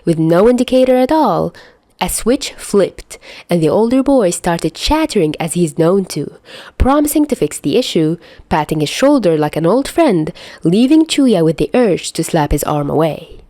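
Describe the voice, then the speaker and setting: Animated low-pitched woman narrating a story. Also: moderate pace, no echo. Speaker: fan fiction reader. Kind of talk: expressive scripted story narration.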